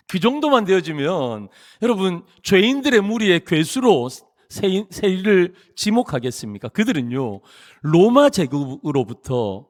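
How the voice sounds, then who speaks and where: Animated pastor preaching in a reverberant church. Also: male voice, high voice. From Busan, South Korea. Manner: animated, jokey preaching with rising emphatic phrases. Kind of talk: sermon. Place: church sanctuary. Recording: long reverb of a large church hall.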